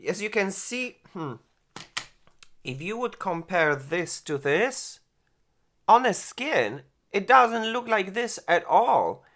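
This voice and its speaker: A man in his forties, a beauty vlogger reviewing makeup, who gushes and exclaims often and speaks in halting phrases with many restarts. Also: sits high, sing-song, fairly bright tone. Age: 40s. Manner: gushing, exclaims and laughs often. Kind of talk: product review and makeup tutorial.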